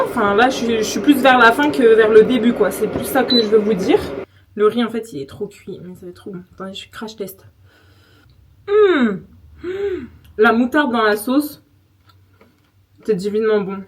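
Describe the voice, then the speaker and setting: Young woman from France, twenties, bright higher voice, chatty and eager home vlogger, light background hiss. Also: moderate pace, long pauses. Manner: chatty, enthusiastic, many fillers and restarts. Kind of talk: casual vlog chat to camera. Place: home kitchen.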